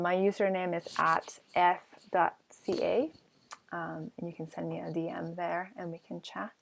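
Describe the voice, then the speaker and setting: A woman from Peru, an artist answering questions in an online talk, with many pauses, ums and restarts. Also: on the low side, vocal fry. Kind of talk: casual Q&A answers.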